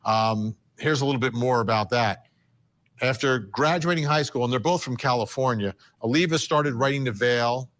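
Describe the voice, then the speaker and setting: A man's voice: mid-range voice, fairly bright tone. A man interviewing a guest, reading passages from a report aloud and then asking questions. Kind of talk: television interview.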